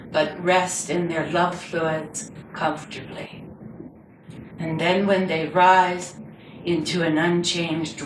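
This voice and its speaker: A woman reading her own poetry aloud live, with measured, deliberate and dramatic phrasing. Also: low voice. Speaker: poet reading own work. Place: indoor reading before a group. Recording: roomy, distant mic with background noise.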